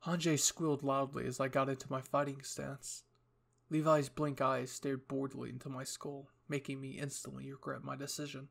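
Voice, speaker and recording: A man's voice: medium pitch, vocal fry, husky. A young man reading scripted material as the narrator and host of a story channel.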